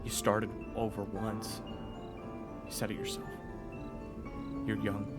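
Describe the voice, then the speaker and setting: An actor playing an earnest, urgent teenage knight in an audio roleplay drama, protective and stubborn, with dramatic, emphatic delivery. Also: male voice, fairly low pitch, completely flat delivery, takes it slowly, loud music behind the voice. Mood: earnest, tense. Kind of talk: audio roleplay drama with first-person narration.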